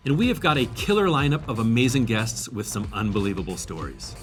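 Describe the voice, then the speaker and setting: A man co-hosting a podcast opens the show with a scripted, announcer-style read. His pitch swings widely as he speaks. Soft music plays underneath. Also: animated, brisk. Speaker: podcast co-host. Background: faint music.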